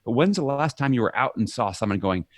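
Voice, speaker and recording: A middle-aged man talking as a podcast guest, animated, acting out quoted shouts and dropping soft for regretful moments. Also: mid-range voice, fast talker, no echo, studio quality. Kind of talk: conversational interview.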